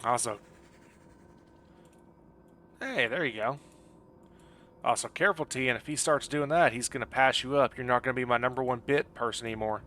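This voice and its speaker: Male game streamer: bright, crisp-edged tone, loose joking banter with chat, slight background noise. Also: mid-range voice, moderate pace, long pauses. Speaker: video game live streamer. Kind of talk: casual chat with stream viewers while gaming. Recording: clearly audible background noise.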